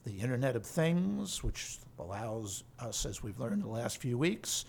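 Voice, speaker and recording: A man who is a law professor and center director, explaining in a measured, articulate way on a podcast. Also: mid-range voice, very animated, vocal fry, darkish tone, breathy edge. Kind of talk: podcast interview.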